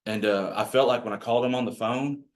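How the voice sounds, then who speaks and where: A man's voice: mid-range voice, fast talker. A man with a growly voice, giving a folksy, rambling training talk to a group of real estate agents. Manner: folksy, rambling, self-deprecating. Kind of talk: casual workshop talk to a group.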